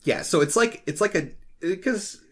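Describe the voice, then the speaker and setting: A man chatting casually and speculatively as a podcast co-host, in a jokey, animated way. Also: high voice, measured pace.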